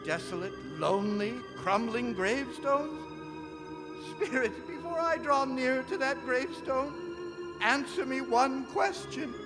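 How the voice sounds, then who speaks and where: Old miser, very high voice, slow and theatrical, pleading, big hall reverb. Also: male voice, animated, over music, heavily acted. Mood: fearful, dreading. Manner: theatrical, halting, pleading delivery. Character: repentant old miser, anguished, pleading, wildly emotional. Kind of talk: stage radio drama acting. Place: performing arts center stage.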